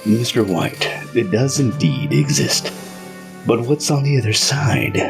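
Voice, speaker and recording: An actor playing a measured, smugly assured leader of a shadowy government committee in an audio drama. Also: male voice, lowish voice, very animated, music in the background. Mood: cold, authoritative.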